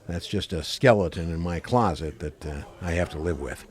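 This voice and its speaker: A man's voice: low-pitched, moderate pace, lightly acted. A man playing a tough, unyielding homicide cop with a wry streak in a radio play, blunt and authoritative. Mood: stern, forceful.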